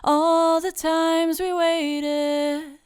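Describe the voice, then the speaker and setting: Woman singing high over acoustic guitar. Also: little pitch movement, extremely slow, a little mellow. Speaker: singer-songwriter. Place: recording studio. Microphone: studio microphone.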